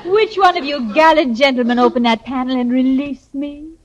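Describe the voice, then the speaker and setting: Woman playing alluring female vampire, high voice, flirtatious, theatrical, radio comedy, dull vintage recording. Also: normal speed, very noisy, close to an everyday voice. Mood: seductive. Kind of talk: 1940s radio comedy acting.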